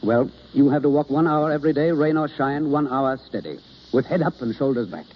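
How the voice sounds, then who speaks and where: An actor playing an understudy turned acting coach, polite but blunt, in old radio drama style. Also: male voice, mid-range voice, brisk, natural voice. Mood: critical. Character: poor, capable understudy turned stern acting coach, man. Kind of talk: 1930s American radio acting. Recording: muffled, hissy old radio broadcast.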